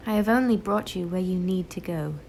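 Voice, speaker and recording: A young woman on a school stage playing a calm, probing spirit guide, speaking solemnly with pointed questions. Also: on the low side, fairly quick, vocal fry. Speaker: stage actor. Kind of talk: school stage play acting.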